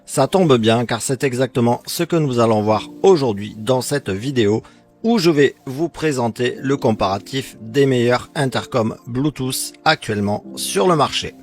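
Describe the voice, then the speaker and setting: A man reads a scripted product comparison as a presenter, in a measured, explanatory sales style. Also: mid-range voice, animated. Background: background music.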